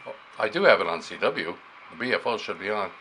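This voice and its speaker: A man casually demonstrating amateur radio gear, haltingly thinking aloud as he works the controls. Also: on the low side, big pitch swings, bright, forceful tone. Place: beside operating radio equipment. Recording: noisy recording with clear background hiss.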